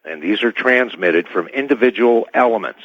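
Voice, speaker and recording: A man speaking as an expert radio guest, giving halting technical explanations over a dull phone-in line. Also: lowish voice, lively intonation, dry recording. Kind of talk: technical explanation in live interview.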